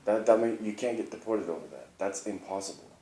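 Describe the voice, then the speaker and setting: A man's voice: fairly low pitch, fast, warm tone, slight background noise. A man in casual conversation, insistent and argumentative. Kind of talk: casual chat. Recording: echoey room.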